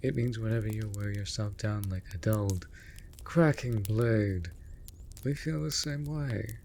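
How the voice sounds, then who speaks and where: An actor playing a mage's raven familiar in a fantasy audio drama, theatrical and formal, scolding but caring. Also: male voice, fairly low pitch, a little slow, gravelly, darkish tone, a little hiss or hum. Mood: chiding, caring. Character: male raven familiar of a storm mage, exasperated but devoted. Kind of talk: scripted fantasy audio roleplay.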